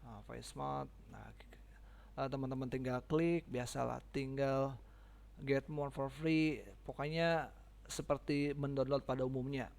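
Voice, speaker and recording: Man, creaky voice, medium pitch, informal step-by-step tutorial, instructor addressing listeners. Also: steady tone, measured pace, darkish tone. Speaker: teacher giving a software tutorial. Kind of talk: casual step-by-step screen tutorial.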